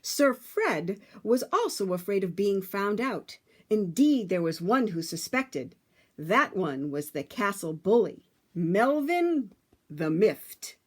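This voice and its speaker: A woman reading a picture book aloud to children, with dramatic, emphatic phrasing and drawn-out words. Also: mid-range voice, big pitch swings, husky.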